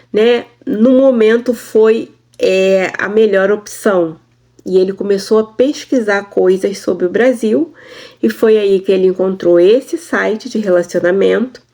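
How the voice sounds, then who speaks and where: A woman's voice: medium pitch, warm tone. A woman from Brazil telling her story casually to camera, often laughing and explaining things for her husband. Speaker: vlogger.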